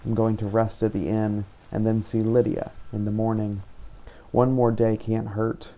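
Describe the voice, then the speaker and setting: A man voicing a brooding vampire hunter who narrates his journal in a solemn, measured way for a fantasy game roleplay. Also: on the low side, little pitch movement, warm tone, natural voice, low-quality recording. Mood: weary, reflective.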